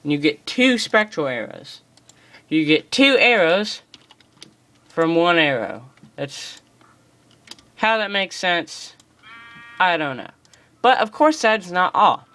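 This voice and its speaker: An excitable host chats through a gameplay tutorial and pokes fun at their own stumbles. The voice sits at a middle pitch, and keyboard typing clicks in now and then. The sound is picked up by a phone pointed at a computer screen. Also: slow pace, long pauses. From United States. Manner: chatty, excitable, self-deprecating. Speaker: gaming channel host. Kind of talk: casual game commentary tutorial. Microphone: phone filming a screen.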